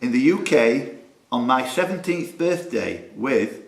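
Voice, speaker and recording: Quiz master in a roomy club. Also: male voice, medium pitch, animated, measured pace, vocal fry. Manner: jokey, punning asides. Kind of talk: live pub quiz hosting. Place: social club room. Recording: roomy club hall with some noise.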